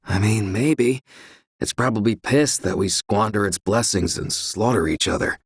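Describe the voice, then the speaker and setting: Low-voiced townsman, husky, casual, resigned, video game. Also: unhurried, dry recording, studio quality. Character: laid-back male slum townsman, philosophical. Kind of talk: video game character acting.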